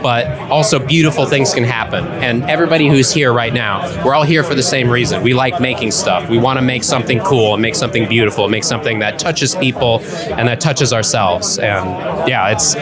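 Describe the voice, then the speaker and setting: A man's voice: medium pitch, fairly quick, over loud music. A man speaking earnestly and passionately at a crowded indoor event, answering into a handheld mic. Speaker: game developer. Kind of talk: casual interview.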